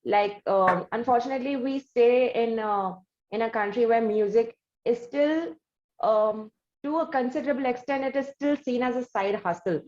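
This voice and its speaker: A woman's voice: fairly high pitch, normal speed, darkish tone. Indian woman interviewer in an echoey room. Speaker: interviewer, show host. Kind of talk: conversational interview questioning. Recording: roomy echo, slightly dull audio.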